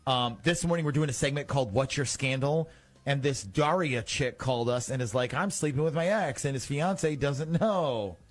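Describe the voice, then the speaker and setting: A man's voice: medium pitch, moderate pace. A man hosting a morning radio show, running a prank call in a casual, teasing way.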